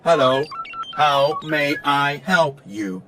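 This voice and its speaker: A man puts on a courteous service robot for a storybook. It speaks very slowly and precisely, in a measured mechanical way. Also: fairly high pitch, noisy background, voice pushed up. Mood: flat, helpful. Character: polite, measured airport helper robot.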